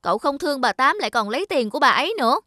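A chubby cartoon schoolboy talks in a high voice with music behind. He sounds earnest and caring, and tends to admonish like a conscientious friend. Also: lively intonation, very fast, pushed and bright. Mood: earnest, reproachful. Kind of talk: children's cartoon dialogue.

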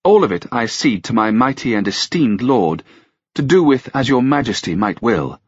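A man voicing a flattering young noblewoman at court, with courtly, sweetly persuasive speech. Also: medium pitch, moderate pace, slightly rough, no echo, pitched above the performer's own voice. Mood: earnest. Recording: dull, dry, lo-fi sound.

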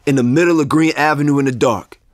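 An actor playing a troubled, protective husband and expectant father in a naturalistic audio drama, speaking in measured, short phrases. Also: a little high, fairly even intonation, no echo, studio quality, natural voice. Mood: indignant.

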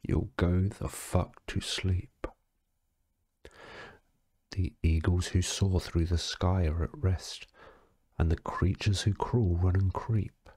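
A tipsy man with a very low, husky voice reads like he is telling a bedtime story. He goes slowly and deadpan, with casual swearing, in a close, dry sound. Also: no echo. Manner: slow, sweary, deadpan, stumbling over words. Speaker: story reader. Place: alone in a quiet, dry-sounding space.

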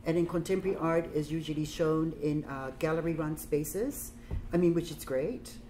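A woman with a Samoan accent and a low voice speaks as a guest artist at a gallery talk. She talks loosely and chattily, with many fillers. A light hiss sits under her voice. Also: moderate pace, warm tone. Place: gallery room, indoors.

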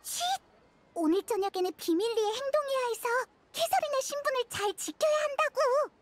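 A woman voicing a tiny, excitable childlike fairy companion in a game, with chatty, exclamatory delivery. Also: very high voice, moderate pace. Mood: eager, hushed urgency. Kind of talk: game character dialogue. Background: prominent music.

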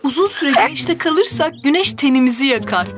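A woman voicing a kindly, caring mother in a preschool cartoon, gently explaining things to small children. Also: high-pitched, animated, brisk. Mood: gentle, explaining.

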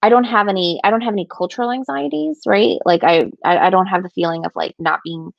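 A woman's voice: medium pitch, fast talker, vocal fry, dry recording, muffled recording. A woman author from Ecuador on a video call panel, talking breezily with many restarts. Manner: breezy, restarts a lot, laughs. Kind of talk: casual panel talk. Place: home room on a video call. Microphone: video call webcam mic.

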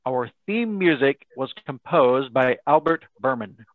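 A man playing a polished old-time radio announcer with formal, measured salesmanship. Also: medium pitch, animated, no echo, close to an everyday voice. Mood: polished, promotional. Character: polished male 1930s radio announcer pitching coffee. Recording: thin, phone-like video-call audio.

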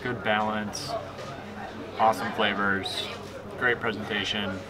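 A man's voice: lowish voice, level tone, slow pace. A man in his thirties giving short, casual tasting reactions for a food vlog. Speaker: travel vlogger. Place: busy indoor restaurant. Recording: very loud restaurant chatter.

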